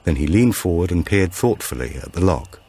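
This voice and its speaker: A male audiobook narrator with a notably deep voice. He reads scripted suspense fiction as a storyteller. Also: big pitch swings, normal speed, mellow, slightly rough.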